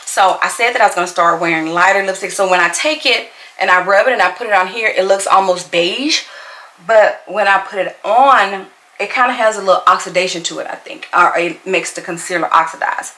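A woman's voice: mid-range voice, brisk, sharp tone, reverberant, poor audio. A woman in her forties vlogging while doing her makeup, talking back to a commenter in a sassy way and repeating herself for emphasis. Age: 40s. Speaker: YouTuber. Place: bedroom at home, indoors. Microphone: fixed camera at desk.

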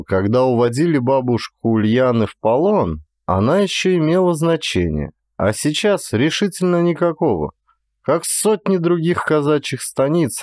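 A man narrates classic prose like a stage actor, in a measured, expressive way. His mid-pitched voice swings widely in intonation. The sound is dry and close, with no room echo. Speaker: actor reading an audiobook. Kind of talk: dramatic literary audiobook narration.

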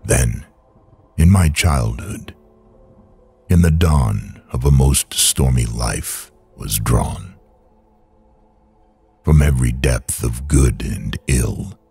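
A man with a gravelly, raspy voice reciting solemn poetry dramatically, brooding, in halting phrases with long pauses. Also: very deep voice, darkish tone, faint music behind. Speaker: poetry reader.

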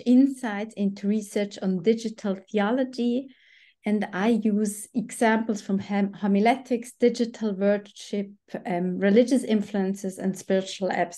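A woman's voice: medium pitch, warm tone. A woman in her forties from Switzerland with a Swiss German accent, giving an explanatory academic presentation on a video call. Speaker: guest researcher. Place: indoors at home on a video call. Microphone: computer microphone on video call.

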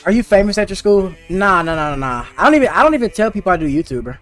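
A man's voice: high-pitched, sing-song, very fast, fairly bright tone, soft background music. A teenage boy streaming a game, chatting casually and slangily with his viewers. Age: teens. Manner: slangy and laid-back, talking to chat. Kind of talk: casual live-stream chat while gaming.

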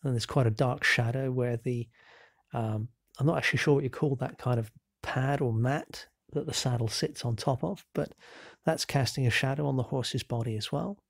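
A man with a cold, narrating a real-time painting demonstration in a relaxed, explanatory way with frequent ums and self-corrections. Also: mid-range voice, moderate pace, gravelly, no echo, studio quality. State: has a cold. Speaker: painting instructor.

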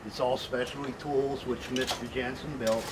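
A middle-aged man talks plainly while working at a bench in a big factory workshop. His voice sits at an ordinary pitch for a man, and metal clatter and clinking tools fill the background loudly. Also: flat delivery, moderate pace, heavy background noise. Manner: plain, matter-of-fact explaining while working. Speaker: factory worker. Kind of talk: explaining his work on a factory tour. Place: large factory workshop, indoors. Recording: loud workshop clatter behind.

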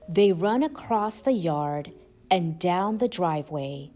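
Slow, expressive woman reading a picture book. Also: lowish voice. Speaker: storyteller and channel host. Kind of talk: children's picture book read-aloud.

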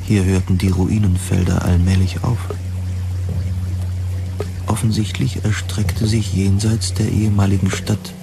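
A man's voice: low voice, level tone, unhurried, creaky, music in the background. A man narrating a scripted first-person science fiction story for an audio drama.

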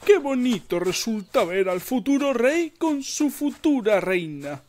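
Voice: medium pitch, big pitch swings, measured pace, darkish tone. A performer voicing a pompous, flustered male hornbill royal steward in a cartoon musical, theatrical and exclamatory, with an accent from Spain. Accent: Spain Spanish. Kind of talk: animated film musical dub cover.

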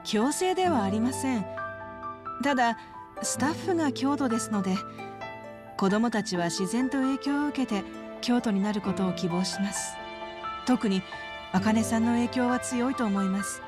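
A woman plays a devout, gentle caretaker from a video game. She explains things politely and in a measured way, with clear background music under her voice. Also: fairly high pitch, natural voice. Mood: earnest, composed. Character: gentle, devout woman running a religious children's home. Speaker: staff member of a religious children's home. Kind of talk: scripted Japanese video game dub.

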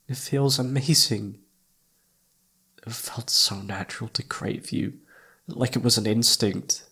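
Guy acting as a shy flustered young male incubus, slow halting talk, bit gravelly, romance asmr roleplay thing. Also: medium pitch, heavily acted. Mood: bashful, yearning. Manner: stammering, hesitant, halting.

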